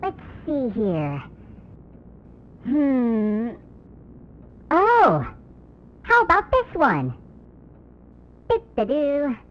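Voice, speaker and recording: A woman's voice: high-pitched, huge pitch swings, very slow, long pauses, muffled recording, exaggerated voice. A woman playing a cheerful, playful and bossy children's show host in an analog horror cartoon, with a sing-song, exaggerated delivery. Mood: playful, curious.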